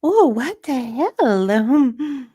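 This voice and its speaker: A woman playing a feisty, playful, flirtatious character in a romance drama, with breathy, bantering delivery. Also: high voice, sing-song, drawn-out words, dark tone, no room sound, studio quality, full character voice. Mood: startled.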